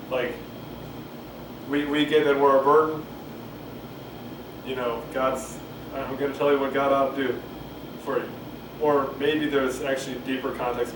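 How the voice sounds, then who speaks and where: A man doing a casual cold reading of a play, halting, repeating phrases and musing aloud between lines, recorded on a camcorder. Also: medium pitch. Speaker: amateur reader. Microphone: camcorder at a distance. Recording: roomy, distant camcorder mic, loud noise.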